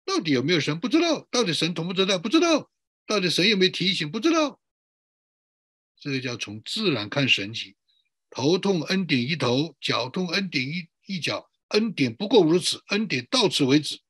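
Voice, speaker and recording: A male pastor giving a sermon in an emphatic way, building up repeated lists and rhetorical questions, with a husky voice. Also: on the high side, very animated, moderate pace, a bit bright, no echo, studio quality. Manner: emphatic, repetitive listing.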